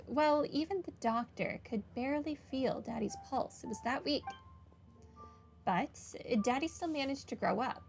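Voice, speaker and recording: A woman's voice: a little high, a little hiss or hum. A woman commenting casually on a video game and reading its text aloud. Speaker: gamer playing and commenting.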